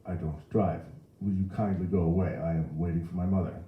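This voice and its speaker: A man's voice: deep voice, steady tone, fairly quick, narrow, tinny audio, natural voice. Man voicing a pompous, indignant young man, roomy sound. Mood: indignant, scornful. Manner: pompous, florid, declamatory. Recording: roomy echo.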